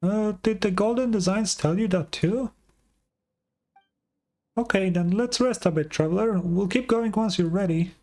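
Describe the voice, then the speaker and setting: A man voicing a small, excitable, childlike female fairy companion from a video game, in a chirpy manner. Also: sits high, a little slow, voice pushed up. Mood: curious, caring. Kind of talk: video game dialogue read aloud.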